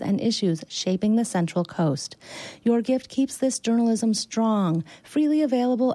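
A woman reading a scripted donation appeal for a radio station. Her voice is mellow and mid-pitched, recorded dry and close. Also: no echo, rough recording. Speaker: news director making a fundraising appeal. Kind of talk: scripted promo read.

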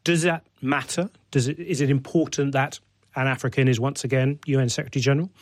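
A man's voice: medium pitch, fairly even intonation, quick pace, no echo, clean studio sound. A man hosting a radio interview, asking probing questions and narrating in a composed broadcast manner. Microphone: studio mic.

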